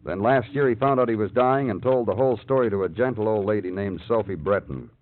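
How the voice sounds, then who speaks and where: An actor playing a hard, world-weary American police detective in 1950s radio crime drama, with clipped, dramatic delivery. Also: male voice, fairly low pitch, fast, close to an everyday voice. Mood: stern, accusing. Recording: muffled old radio recording, music behind.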